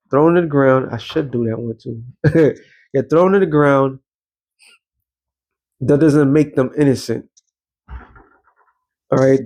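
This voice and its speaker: A man's voice: on the high side, a little mellow. Blunt New man preaching hard. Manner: blunt, profane, street-preacher cadence. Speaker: commentator. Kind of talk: ranting commentary mixed with scripture reading.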